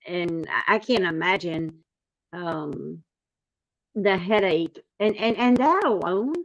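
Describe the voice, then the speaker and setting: A woman's voice: medium pitch, low-quality recording. A woman panelist talking casually and sympathetically, reading out comments and reacting to them. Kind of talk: casual discussion.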